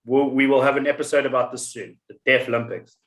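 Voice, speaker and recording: A man's voice: mid-range voice, little pitch movement, fast talker, reverberant. A man hosting a podcast in casual chat, rambling and telling stories with many restarts. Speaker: podcast host.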